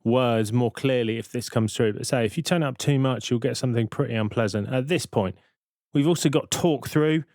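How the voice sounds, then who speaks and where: A man narrating a software tutorial in an informal, explanatory way, thinking aloud as he goes. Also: mid-range voice, moderate pace, no room sound, clean studio sound. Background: synth and vocoder demo sounds.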